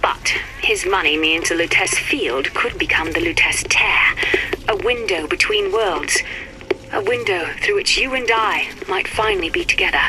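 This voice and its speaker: Female voice, actress as cerebral scientist, intense, medium pitch, dramatic game audio diary, music behind. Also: moderate pace, close to an everyday voice, poor audio. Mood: intent, yearning. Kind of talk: dramatic recorded monologue in a video game.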